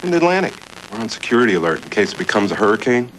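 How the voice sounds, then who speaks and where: A man's voice: on the low side, big pitch swings, racing, a bit bright, slight background noise, rough recording. An actor playing a wry serviceman who talks in an offhand, dismissive manner.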